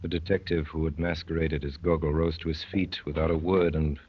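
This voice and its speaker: An actor playing an intense undercover detective, measured and theatrical, with a husky voice, in 1930s radio drama style. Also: male voice, rumbling low, flat delivery. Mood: tense narration. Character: intense young undercover detective, narrating his own adventure. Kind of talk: 1930s radio acting, dramatic first-person narration. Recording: dull, muffled old 1930s radio recording.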